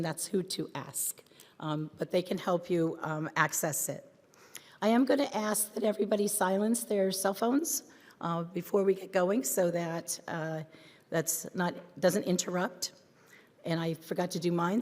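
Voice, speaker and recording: A woman with a hoarse voice giving a chatty welcome address and announcements to a conference. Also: lowish voice, moderate pace, a little mellow. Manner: friendly, chatty, many ums and restarts. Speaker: conference co-chair. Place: large conference hall. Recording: reverberant hall.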